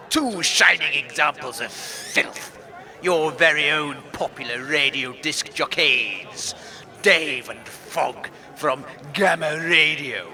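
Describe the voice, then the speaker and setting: A harsh, piercing villain, slow and grandiose in delivery. He is a pompous wasteland cult leader who rants with theatrical contempt, gravel in his throat, as in a comic radio play. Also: on the high side, bright, forceful tone, exaggerated voice. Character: pompous, ranting male cult leader in a post-apocalyptic wasteland. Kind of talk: comedic audio drama acting.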